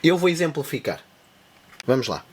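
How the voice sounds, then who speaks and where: A man's voice: fairly high pitch, sing-song, moderate pace, slight background noise. European Portuguese man explaining device setup. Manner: step-by-step explaining while demonstrating. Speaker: tech channel host. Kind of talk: hands-on device tutorial.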